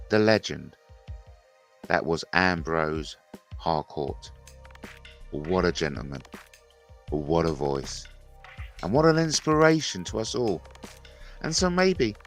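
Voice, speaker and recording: A man in his fifties hosting a rambling, jokey livestream chat, repeating himself and mentioning his speech impediment. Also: fairly low pitch. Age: 50s. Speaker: livestream host.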